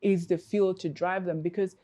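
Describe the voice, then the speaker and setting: A woman's voice: on the low side, steady tone, moderate pace, warm tone. A woman from Africa, a coach, answering interview questions in an earnest, reflective way with many fillers and restarts.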